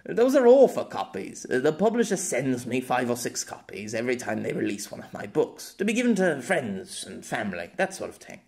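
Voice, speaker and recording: A man voicing a flustered, gruff grey-haired male novelist in an audiobook, with a halting, stammering manner. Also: mid-range voice, sing-song, darkish tone. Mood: amused. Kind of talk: amateur audiobook character acting.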